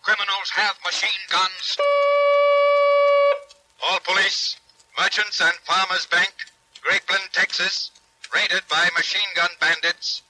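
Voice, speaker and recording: An actor playing an urgent police radio dispatcher, reading clipped bulletins in 1940s radio style. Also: male voice, high voice, little pitch movement, slow, pauses often, sharp tone, heavily acted, low-quality recording.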